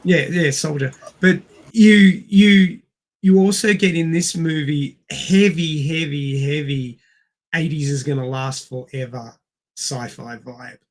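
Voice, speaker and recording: A man's voice: a little high, fairly even intonation, takes it slowly. A middle-aged man co-hosting a podcast, casually discussing film in an explanatory way and repeating words for emphasis. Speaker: podcast co-host.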